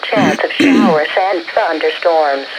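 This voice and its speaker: A synthetic-sounding woman reads a scripted forecast in a measured, flat way over the radio. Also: deep voice. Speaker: automated weather radio announcer. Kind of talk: scripted marine forecast readout. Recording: weather radio broadcast with clear noise.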